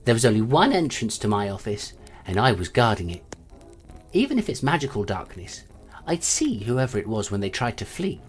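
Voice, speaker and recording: Audio drama lead: streetwise clawed creature detective, low register, swooping intonation, terse and self-deprecating. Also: female voice, sing-song, normal speed, soft background music. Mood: tense, menacing. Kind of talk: dramatic first-person storytelling in an audio drama.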